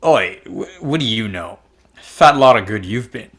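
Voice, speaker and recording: A man's voice: on the low side, expressive, measured pace, fairly bright tone, breathy edge, exaggerated voice. A man playing a stubborn, accusing husband in an amateur drama table read, with a blunt delivery. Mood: scornful.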